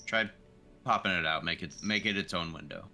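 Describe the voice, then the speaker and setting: A man's voice: sits low, a little fast, vocal fry, bright, forceful tone, faint music behind. A man chatting casually as a player in a tabletop roleplaying game. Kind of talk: casual chat.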